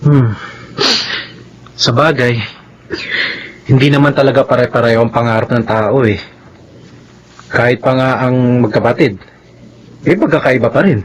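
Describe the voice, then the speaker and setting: A man playing a caring, protective young Filipino brother and respectful son in a radio drama, earnest and gentle. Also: mid-range voice, unhurried, pauses often, close to an everyday voice. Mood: concerned, apologetic. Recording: dull lo-fi radio drama track.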